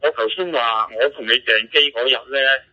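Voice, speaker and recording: Hong Kong man on muffled two-way radio. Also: normal speed. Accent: Hong Kong Cantonese. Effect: two-way digital radio. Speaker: amateur radio operator. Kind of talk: casual radio chat. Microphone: radio transceiver microphone. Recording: muffled low-fidelity digital radio transmission.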